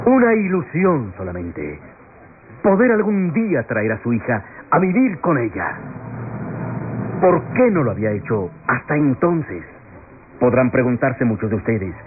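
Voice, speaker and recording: A tinny, noisy old radio recording carries an impassioned defense lawyer in a melodramatic radionovela. His delivery is solemn and declamatory, swinging widely in pitch as he pleads to the jury with rhetorical questions and heavy pauses. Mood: solemn, pleading. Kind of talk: classic radionovela courtroom oratory, melodramatic.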